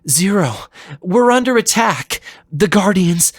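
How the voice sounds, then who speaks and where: Guy doing worried resistance woman, urgent and grave, pitched up from a very deep voice, audio drama style. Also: lively intonation, takes it slowly, breathy edge, no room sound, studio quality, heavily acted. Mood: alarmed, urgent. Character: anxious female resistance leader, urgent and grave.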